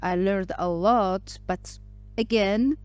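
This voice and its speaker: A woman's voice: medium pitch, slow, darkish tone, clean tone, dry recording. Hesitant woman from Morocco, interview guest. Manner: speaks English with frequent fillers, restarts and hesitations. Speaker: podcast guest, entrepreneur. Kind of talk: casual interview talk.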